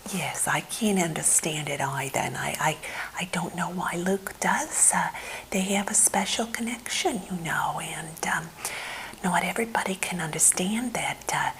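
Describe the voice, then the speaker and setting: A woman acts out a quirky, soothing female therapist in a whispered ASMR role play. The delivery is slow and low, hesitant and chatty, with a creaky edge. It is recorded up close. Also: low-quality recording. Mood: attentive, soothing. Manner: hushed whispering, hesitant, chatty, gently reassuring. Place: indoor room at home.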